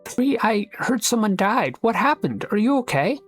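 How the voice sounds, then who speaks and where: Narrator doing a pushy nosy onlooker, higher voice, keeps prodding, role-play drama. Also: sing-song, moderate pace, soft background music. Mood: worried prying. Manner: questioning, insistent.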